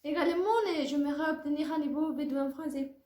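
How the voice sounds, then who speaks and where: A young woman with a high voice and a Mexican accent, a native Spanish speaker. She delivers a prepared student presentation in a careful, formal way. The sound is noticeably echoey, as from a reverberant room. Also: fairly even intonation, fast talker, darkish tone. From Puebla, Mexico. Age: early 20s. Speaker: language student. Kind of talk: prepared oral presentation for a French class.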